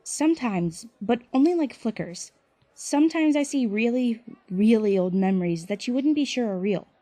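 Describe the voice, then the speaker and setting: An actress as a gentle young woman in an audio drama. Her voice is fairly high yet mellow and very close and dry, and she explains things softly and patiently. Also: animated, normal speed, no echo, close to an everyday voice. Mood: gentle, explanatory.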